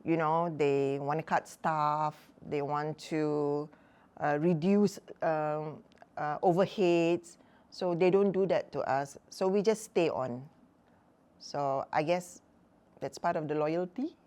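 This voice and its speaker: A woman in her sixties, a mother on a talk show, telling stories from her life in a relaxed, chatty way with many fillers. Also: deep voice, takes it slowly, smooth. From Malaysia. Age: 60s. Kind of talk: casual chat.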